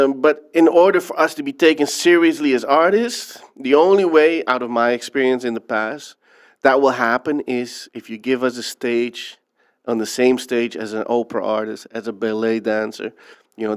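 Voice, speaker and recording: A man from the Netherlands speaks as an interview guest, reflective and earnest as he tells his story. His voice is smooth and resonant, sitting at an ordinary middle pitch for a man. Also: fairly even intonation, normal speed. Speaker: filmmaker guest. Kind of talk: interview conversation.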